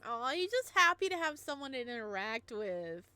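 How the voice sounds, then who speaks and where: A woman playing through a visual novel and reacting as she goes, gushing and playful. Her voice is on the high side and very animated, jumping up and down in pitch. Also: normal speed, clear, resonant tone, no echo. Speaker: gaming video creator. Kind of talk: visual novel playthrough commentary.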